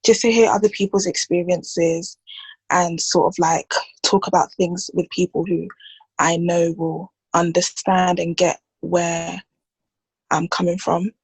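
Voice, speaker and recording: A young woman, a psychology student, speaking quietly and hesitantly with many pauses. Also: lowish voice, flat delivery, gravelly, breathy edge, no echo, rough recording. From United Kingdom.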